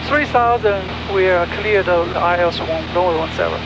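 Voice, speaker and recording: High-pitched male pilot in a noisy cockpit. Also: normal speed. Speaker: private pilot. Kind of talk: radio readbacks to air traffic control. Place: small propeller plane cockpit in flight. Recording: muffled cockpit audio, loud engine drone. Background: loud aircraft engine noise.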